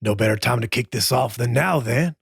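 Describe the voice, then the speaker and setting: A man's voice: medium pitch, brisk, vocal fry, breathy edge, no room sound, studio quality, voice pushed down, exaggerated voice. A man voicing a cocky, strutting outlaw gunslinger in a tabletop western, drawling taunts. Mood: cocky, taunting. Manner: drawling taunts, says dude.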